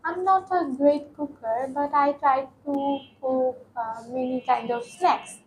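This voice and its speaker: A teenage woman from Bangladesh, answering exam questions slowly with many ums and pauses. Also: high-pitched, steady tone, a little hiss or hum, low-quality recording. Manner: hesitant, frequent ums and pauses. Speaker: test candidate. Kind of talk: mock speaking exam answers.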